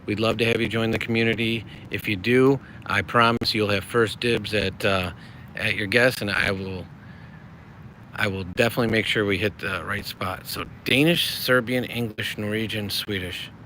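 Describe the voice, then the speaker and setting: A man's voice: on the low side, steady tone, normal speed, sharp tone, slight background noise. A middle-aged American man hosting a casual livestream, rambling in a friendly way and reading chat messages aloud. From United States. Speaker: livestream host.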